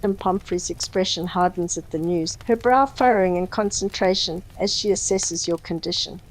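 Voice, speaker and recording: A woman's voice: fairly low pitch, moderate pace, rough recording. A woman reading a scripted story as its narrator.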